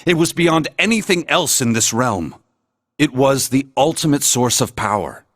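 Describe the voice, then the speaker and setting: Male game narrator, mid-pitched, very expressive swings, dry close sound, grave and dramatic with old legends. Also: moderate pace, dry recording. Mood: grave, portentous. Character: grave man recounting ancient lore with gravitas. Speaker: storyteller in a video game cutscene.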